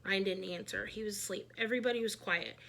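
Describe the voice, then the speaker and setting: A woman reading a children's book aloud, narrating expressively in an animated, emphatic way. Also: mid-range voice, quick pace, vocal fry. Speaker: read-aloud storyteller.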